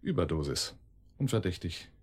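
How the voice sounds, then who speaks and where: A cold crime boss with a low, slightly rough voice. He speaks deliberately and sardonically, in the commanding manner of a radio drama villain. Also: big pitch swings, a little mellow, exaggerated voice. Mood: coldly menacing. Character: cold, menacing male crime boss, calmly cruel. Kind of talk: German radio drama acting.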